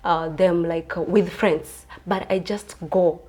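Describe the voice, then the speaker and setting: A woman in her twenties from Kenya, a pageant contestant answering interview questions in an earnest, soft-spoken way. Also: lowish voice, fairly even intonation, slow pace, slightly rough. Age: 20s.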